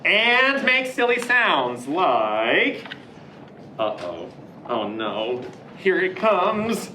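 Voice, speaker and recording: A woman's voice: fairly low pitch, big pitch swings, very slow, bright, forceful tone, echoey room, slight background noise. A woman reading a children's picture book aloud, playing up mock outrage and dismay. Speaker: storybook reader.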